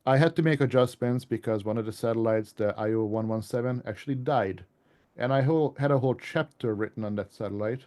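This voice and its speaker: A man with a mellow, relaxed voice at a middling pitch gives an informal talk to a club. He comes across as an author chatting through his material, conversational and rambling, drifting into asides. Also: fairly quick. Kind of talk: informal presentation to a radio club.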